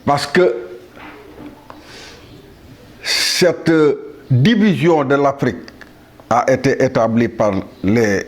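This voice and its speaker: A man in his seventies from Senegal, delivering a solemn monologue to the world in a measured, halting way with pauses and restarts. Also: medium pitch, animated. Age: 70s. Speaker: speaker addressing the world.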